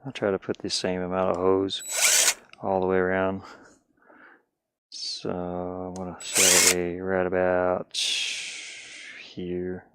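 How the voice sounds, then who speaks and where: A man's voice: deep voice, completely flat delivery, takes it slowly, long pauses, heavy background noise. A man live streaming casually as he works with his hands, muttering and meandering as he thinks aloud through each step for viewers. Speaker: live streamer doing a DIY build. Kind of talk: casual chat while working, thinking aloud.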